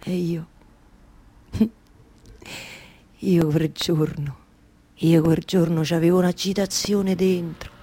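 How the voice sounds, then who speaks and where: A woman's voice: on the low side, steady tone, dark tone. An actress with a Roman accent playing an impassioned, wistful wife in a theatrical monologue, repeating her phrases obsessively as she retells her husband's odyssey. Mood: confiding, agitated. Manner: obsessive repetition of phrases, halting then surging. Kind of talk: theatrical radio monologue with live music.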